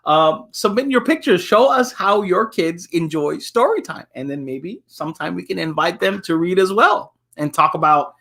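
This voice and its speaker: A man's voice: sits high, expressive, moderate pace, vocal fry, few pauses, pushed and bright. A man reading a children's book aloud as a storyteller, playful and given to chuckling asides.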